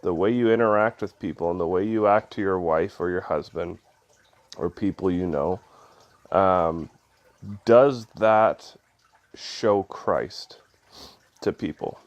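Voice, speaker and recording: A man's voice: low-pitched, slow pace, pauses often. A man with a head cold and a stuffy, nasal voice gives a casual spoken devotional, loose and conversational with many ums and uhs. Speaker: devotional video host.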